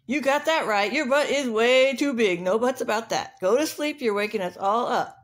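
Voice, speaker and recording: A cocky barnyard rooster played by a woman storyteller, pitched high and strutting through every line. He is vain and sassy, with a slangy, taunting way of bragging. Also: moderate pace, lightly acted. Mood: boastful, mocking.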